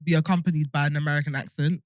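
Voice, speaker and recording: Young girl chatting on a podcast, teasing and animated, dry close sound. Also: low-pitched, very fast, dry recording. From United Kingdom. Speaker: podcast co-host. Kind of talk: casual chat.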